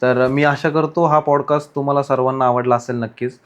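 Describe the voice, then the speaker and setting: A man's voice: medium pitch, steady tone, a little fast, dull audio. A man hosting a podcast interview, often answering with short affirmations and echoing his guest's words. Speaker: podcast host.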